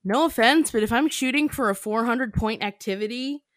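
A woman's voice: fairly high pitch, normal speed, dry recording. A woman co-hosting a podcast, chatting casually and giggling, filling her talk with "like". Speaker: podcast co-host. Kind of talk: casual chat.

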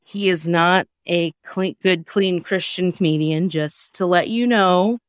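A woman's voice: lowish voice, a little slow, no room sound, studio quality. A woman hosting a talk show, telling personal stories in a candid, emphatic, colloquial way. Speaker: podcast host introducing comedy sketches. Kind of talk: casual personal storytelling.

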